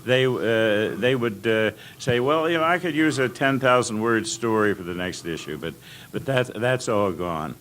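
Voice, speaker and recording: Halting older American man, noisy recording. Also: fairly low pitch, animated, fairly bright tone. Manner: halting, rambling, hesitant anecdote telling. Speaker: panel guest at old-time radio convention. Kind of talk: informal panel storytelling, reminiscing. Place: indoor convention panel room. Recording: clearly noisy convention room recording.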